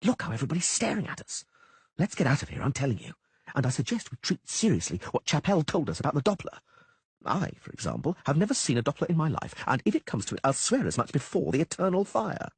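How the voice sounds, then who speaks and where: A man's voice: very animated, fast talker, vocal fry, slightly rough, dry recording, heavily acted. Man doing a whiny, panicky troubadour. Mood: nervous. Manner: whining, shrill and panicky. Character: frightened young male troubadour, whiny.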